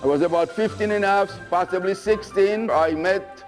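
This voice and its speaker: A man's voice: high-pitched, moderate pace, soft background music. An elderly man from Israel recounting memories of his life. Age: 80s or older. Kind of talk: personal reminiscence.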